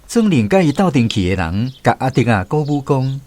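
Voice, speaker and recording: A man's voice: medium pitch, very animated, a little fast, darkish tone. A man with a Taiwanese accent narrating a scripted radio drama as a storyteller.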